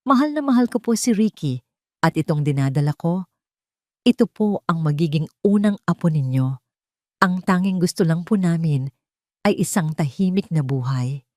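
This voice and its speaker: A woman's voice: on the low side, animated, moderate pace, a little mellow, no room sound, studio quality, lightly acted. Trembling, pleading young mistress voiced by a woman. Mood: tearful pleading. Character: tearful pleading young pregnant mistress, falsely pitiful. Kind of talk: Tagalog audio drama storytelling.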